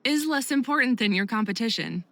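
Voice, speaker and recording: A woman voicing a supportive, reassuring friend in a visual novel game, encouraging and gently chiding. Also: a little high, expressive, fairly quick. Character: supportive, reassuring female friend of young skater.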